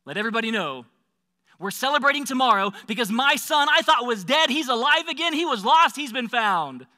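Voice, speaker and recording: A man's voice: sits high, lively intonation, racing, sharp tone, in a large hall, heavily acted. A man voicing a gray-bearded, fiercely loving father in a dramatic monologue, crying out with emotion. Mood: joyful commanding.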